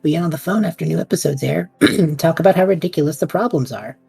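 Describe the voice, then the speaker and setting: Low, dark-toned woman playing a bored young guy, whiny and dramatic, slightly husky, audio drama. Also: quick pace, no room sound, faint music behind, exaggerated voice. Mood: rambling. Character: bored restless young male time traveler.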